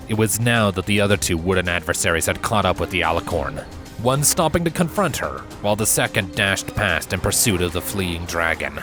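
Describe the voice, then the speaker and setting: A man reading a fantasy story as narrator, in an expressive, measured way with emphatic drawn-out phrases. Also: lowish voice. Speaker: fan fiction narrator. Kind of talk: scripted dramatic story narration. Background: background music under the voice.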